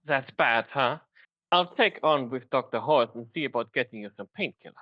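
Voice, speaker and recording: A performer voicing a polite, flustered female pony nurse in a fan fiction reading, gentle and hesitant, on a dull microphone. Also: mid-range voice, normal speed, no room sound, close to an everyday voice. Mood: gently concerned.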